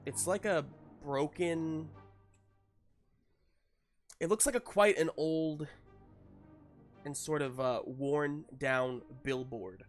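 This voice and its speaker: A man's voice: on the high side, slow pace, pauses often, faint music behind. A man acting as game master, narrating a tabletop game in a casual, hesitant, improvised way.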